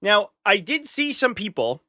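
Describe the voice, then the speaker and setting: Man, stuffy nose, casual stream host, higher voice, bright and forceful, dry close mic. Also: sing-song, unhurried, no room sound. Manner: chatty, dry sarcastic asides to chat. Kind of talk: casual live stream commentary.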